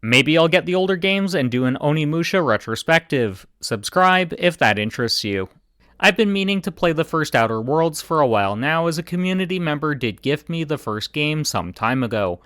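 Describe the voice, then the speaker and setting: A man giving scripted video game commentary in a deadpan voice. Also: on the high side, normal speed, clean tone, no room sound, clean studio sound.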